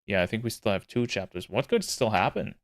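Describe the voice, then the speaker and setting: A man streaming a visual novel, chatting casually and reading the narration aloud in an even tone. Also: on the low side, fairly quick, dry recording, studio quality.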